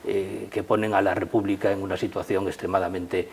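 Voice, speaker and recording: A man with an accent from Spain leads a history discussion. His voice sits low and has a husky grain. He speaks without a script, in emphatic, academic phrases broken by hesitations and repeated words. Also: little pitch movement, brisk. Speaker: presenter and discussant.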